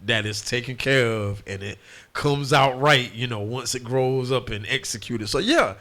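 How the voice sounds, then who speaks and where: A man's voice: mid-range voice, moderate pace, bright, forceful tone. A man, a music producer, talking in a laid-back casual interview and often tagging "and whatnot".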